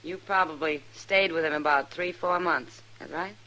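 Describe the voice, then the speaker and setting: A wry, bright-voiced saloon woman. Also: low-pitched, moderate pace. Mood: probing. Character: worldly, wry Old West saloon woman. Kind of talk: 1950s radio western acting. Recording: noisy old radio recording.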